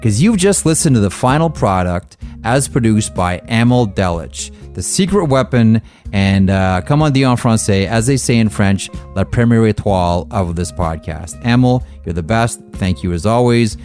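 A man's voice: lowish voice, lively intonation, a little mellow, soft background music. Nasal man, upbeat podcast co-host. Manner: upbeat, chatty, quick sign-off patter. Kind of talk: casual chat.

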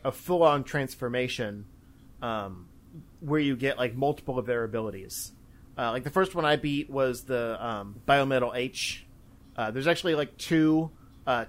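A man chatting casually about video games as a podcast host, in a rambling, hesitant way. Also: mid-range voice.

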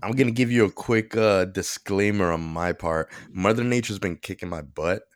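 A man's voice: low voice, moderate pace, dry recording, clean studio sound. A man co-hosting a podcast in casual chat, stuffed up and nasal from allergies. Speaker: podcast co-host.

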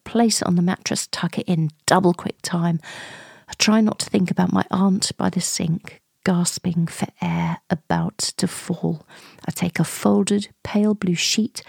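A woman in her forties narrating a first-person radio play in short, hushed, confiding phrases with a breathy voice. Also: medium pitch, no room sound, studio quality. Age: 40s. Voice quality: breathy, husky. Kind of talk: intimate first-person dramatic monologue, present tense.

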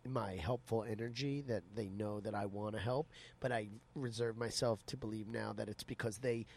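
A man's voice: lowish voice, steady tone, normal speed, vocal fry, darkish tone. A man co-hosting a casual podcast, bantering and teasing as he asks questions. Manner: joking, teasing. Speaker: podcast co-host.

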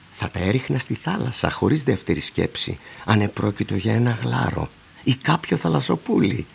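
An actor playing a polite, eerily insistent old shoe-shiner in a radio drama, with a gravelly, husky, breathy voice and a confiding manner. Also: male voice, fairly low pitch, a little mellow, full character voice. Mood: resigned, mournful. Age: older.

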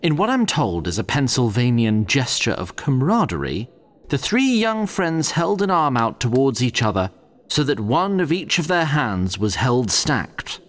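A man's voice: medium pitch, big pitch swings, unhurried. A man narrating a fantasy audio drama in a scripted storytelling style.

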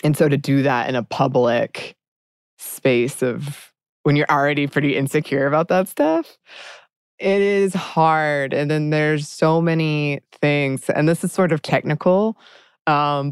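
A woman's voice: deep voice, clean tone, dry recording. A woman hosting a podcast, talking in a reflective, self-deprecating way. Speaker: podcast host. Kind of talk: casual podcast chat. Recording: dry close studio sound.

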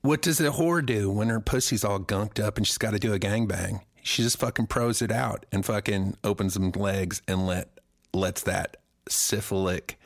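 Guy getting over a cold, gravelly low voice, crude rambling podcast jokes. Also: dry recording, studio quality. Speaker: podcast co-host, singer. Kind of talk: casual podcast banter.

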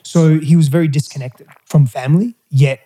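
A middle-aged man, whose was Greek, recounts his past as an interview guest. His voice is mellow and dark, and he talks candidly, often pausing and restarting. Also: fairly high pitch, fairly even intonation, husky, rough recording. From Australia. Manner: candid, hesitant, with restarts and fillers. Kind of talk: reflective personal storytelling.

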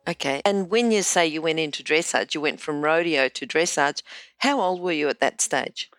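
A woman's voice: sits low, normal speed, fairly bright tone, no echo, studio quality. A woman hosting an interview, asking questions in a hesitant way and rephrasing them as she goes. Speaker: interviewer, host.

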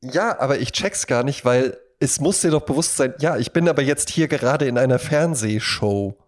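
A man's voice: medium pitch, expressive, moderate pace. A man co-hosting a podcast, talking informally and conversationally and often seeking agreement. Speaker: podcast co-host. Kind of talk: casual podcast chat.

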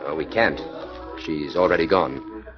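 Self-assured detective, very deep voice, muffled old radio recording, music underneath. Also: male voice, measured pace, creaky, heavily acted. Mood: explaining. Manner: even, confident, matter-of-fact. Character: calm shrewd male detective, self-assured. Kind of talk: 1940s radio acting.

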